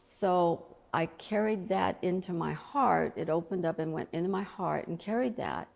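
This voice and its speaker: A woman's voice: fairly low pitch, lively intonation, normal speed, creaky, darkish tone. A middle-aged woman, an author from Colombia, talking in an earnest, reflective way about faith in an interview.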